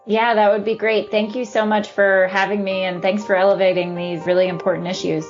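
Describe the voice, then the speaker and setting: A woman speaking as an expert guest on a podcast, measured and thinking aloud as she answers. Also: mid-range voice, flat delivery, lo-fi sound, faint music behind. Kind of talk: casual interview chat.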